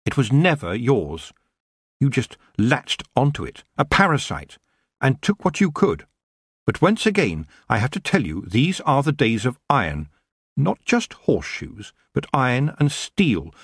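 A man's voice: mid-range voice, husky, dry recording, clean studio sound, pitched above the performer's own voice, heavily acted. A man voicing a proud young female witch who speaks firmly and with scorn. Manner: firm, rising to scornful.

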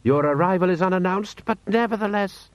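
A man's voice: high-pitched, fairly quick, no room sound, pitched above the performer's own voice, lightly acted. A man voicing a haughty, stiff military lord with pompous, condescending formal speech.

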